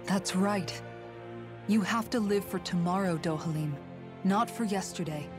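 A woman's voice: medium pitch, measured pace, music in the background, close to an everyday voice. An actress playing a firm, blunt yet compassionate young warrior woman, earnest and direct, in a dramatic video game.

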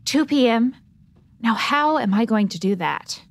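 A woman's voice: a little high, animated, unhurried, no echo. Woman acting a harried, sassy 1940s girl reporter. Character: harried, snappy 1940s female ace newspaper reporter. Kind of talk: 1940s radio drama acting.

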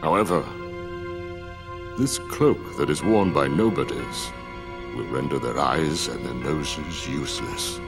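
An actor playing a mysterious cloaked older man in a video game, speaking in a solemn, cryptic way with a gravelly voice over game music. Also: unhurried. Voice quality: deep gravelly voice. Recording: game audio with loud music underneath.

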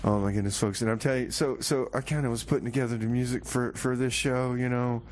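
Laid-back radio guy rambling between songs, medium pitch, bit of background noise. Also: steady tone, quick pace, darkish tone. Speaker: radio show host. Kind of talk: casual, rambling music show chat with comedy banter.

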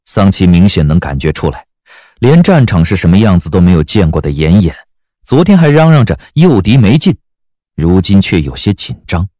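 A man's voice: deep voice, sing-song, normal speed, dark tone, no room sound, studio quality. A man narrating a period audio drama as a storyteller, reading scripted prose dramatically.

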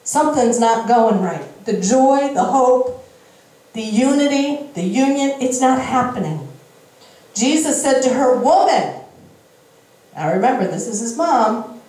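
A middle-aged woman preacher giving a sermon, explaining scripture in deliberate, emphatic short phrases into a handheld microphone on an indoor church stage. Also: on the high side, animated, mellow. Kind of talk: sermon, Bible reading with interjected explanation. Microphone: handheld microphone at a lectern. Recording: very echoey room, slightly distant sound.

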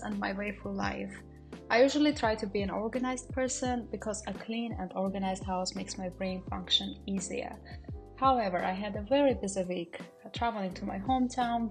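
A young woman in her mid-twenties from Armenia, chatting casually to the camera while she tidies her small apartment, a little nervous and reflective. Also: mid-range voice. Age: mid-20s. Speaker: vlogger. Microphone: camera on a stand, some lines away from it.

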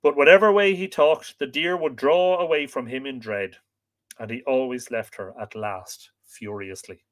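A man's voice: medium pitch, normal speed, close to an everyday voice. A man reading the lines of a wild young boy raised by a deer, telling his sorrowful tale.

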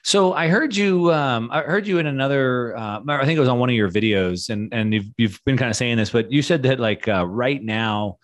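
A man in his forties hosting an interview, phrasing questions in a halting, thoughtful, conversational way into a studio mic. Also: medium pitch. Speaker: interview host. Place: small studio, indoors. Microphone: studio mic on a boom arm.

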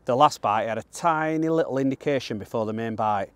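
A man's voice: mid-range voice, brisk, no room sound. Northern English man giving angling tips. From northern England. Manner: plain-spoken, colloquial, matter-of-fact. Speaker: angler presenting a fishing guide. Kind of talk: casual instructional commentary while fishing. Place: outdoors on the bank of a pond.

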